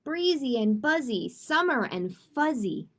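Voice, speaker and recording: A woman reads picture books aloud for a children's storytime in a fairly high voice with a noticeably creaky edge. Her delivery is expressive and simple. She slips into chatty explanations and checks in with her young listeners as she goes. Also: measured pace, slight background noise. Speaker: library storytime host.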